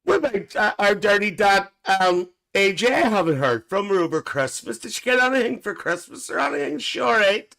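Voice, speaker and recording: A man's voice: sits high, big pitch swings, moderate pace, creaky, few pauses, bright, forceful tone, exaggerated voice. A man playing a gossipy older aunty who hosts a live stream, chatting bawdily with viewers and calling them dear. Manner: bawdy, gossipy, calls viewers dear, laughs a lot. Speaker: livestream host. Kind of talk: live-stream comic chat reading comments.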